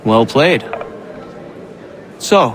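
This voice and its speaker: An actor playing a sly, genial prisoner in a video game, with a wry, courteous and unhurried manner. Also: male voice, medium pitch, expressive, fairly bright tone. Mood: playful, sly. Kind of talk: video game cutscene acting.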